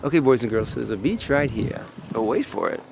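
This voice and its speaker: A man's voice: mid-range voice, lively intonation, a little fast, vocal fry. A man in his fifties vlogging a jokey travel video outdoors, half whispering because he is losing his voice, goofy and self-mocking. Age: 50s. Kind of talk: jokey travel vlog to camera. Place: outdoors on a windy island coast. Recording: heavy wind noise on the mic.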